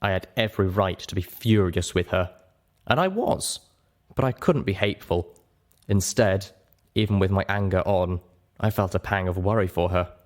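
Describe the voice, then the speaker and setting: A man narrating a story in the first person with an expressive, theatrical, dramatic delivery. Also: low voice, normal speed. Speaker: audiobook narrator.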